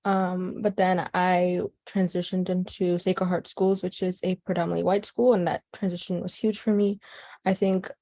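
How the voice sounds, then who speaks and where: A woman's voice: fairly low pitch, little pitch movement, normal speed, dark tone. A young woman from Chicago with an American accent, speaking casually and thoughtfully as an interviewee in an oral history. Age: teens to young adult. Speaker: youth organizer being interviewed.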